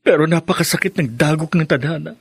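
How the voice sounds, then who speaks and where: A man's voice: fairly high pitch, fast, breathy edge, no echo. A young man playing a sorrowful, confused son, pleading with heavy feeling in a Filipino radio drama.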